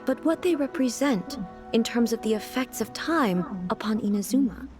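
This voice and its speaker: A woman voices a regal, thoughtful goddess from a video game. Her voice sits fairly high, and she speaks formally and deliberately, with dignified reserve. Music is clearly heard underneath. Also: animated, natural voice. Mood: reflective, solemn. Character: dignified, composed female deity ruler, thoughtful and reserved. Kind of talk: video game character dialogue.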